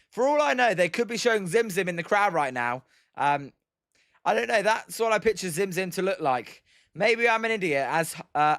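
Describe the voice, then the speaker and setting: Chatty British man, croaky stream commentator. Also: high voice, a bit bright, dry recording, studio quality. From Britain. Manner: rambling, many "um"s and "as" fillers. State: slightly croaky from daily streaming. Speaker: live-stream baseball commentator. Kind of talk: casual play-by-play with chat replies.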